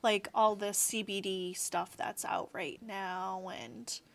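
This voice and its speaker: Thirties woman, interview guest, mid-pitched, rambling and chatty. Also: level tone, takes it slowly, gravelly. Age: 30s. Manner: chatty, rambling, fills with "like" and "you know". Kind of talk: casual interview chat.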